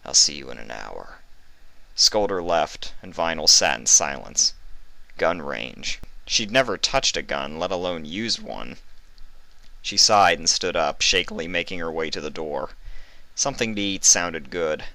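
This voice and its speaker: A man's voice: low voice, animated, unhurried, bright, forceful tone, low-quality recording. A man reading fan fiction aloud as scripted story narration.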